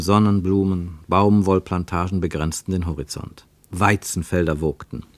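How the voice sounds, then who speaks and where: A man narrating a radio drama travelogue in a measured, descriptive way, building long lists. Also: lowish voice, darkish tone, no room sound, studio quality.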